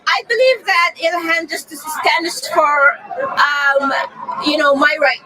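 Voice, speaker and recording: A woman protester giving an emotional, halting street interview, shouting to be heard at an outdoor rally. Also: sits high, rough recording. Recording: loud crowd and street noise.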